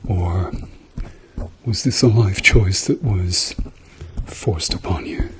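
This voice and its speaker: A man plays a reassuring doctor in an ASMR roleplay, speaking softly and often slipping into whispers. His voice is on the low side and unhurried, with a gentle, dryly playful manner. There is clear background noise on the recording. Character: calm, reassuring male doctor treating a patient.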